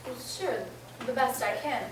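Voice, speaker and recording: Meek, anxious housewife acted by a woman for radio drama: higher voice, hesitant, polite, echoey with clear hiss. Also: unhurried, soft background music, close to an everyday voice. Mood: compliant. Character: frail, forgetful, trusting married woman, anxious after illness. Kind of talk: amateur old-time radio play acting. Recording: roomy, distant, noticeable hiss.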